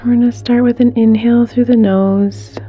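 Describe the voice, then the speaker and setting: A woman leading a guided meditation in a soft, gentle, soothing way, with unhurried pauses and some breathiness. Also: a little high, fairly even intonation, husky, muffled recording, faint music behind.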